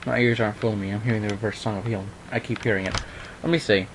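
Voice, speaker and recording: Low-voiced young man, noisy home recording. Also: fairly even intonation, rapid-fire. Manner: informal, breaks off into offhand remarks. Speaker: online video maker reading a horror story aloud. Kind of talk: amateur dramatic reading with casual asides.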